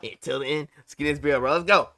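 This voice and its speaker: A man hosting a live reaction stream, talking casually in slang and breaking into excited outbursts. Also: a little high, quick pace, soft background music.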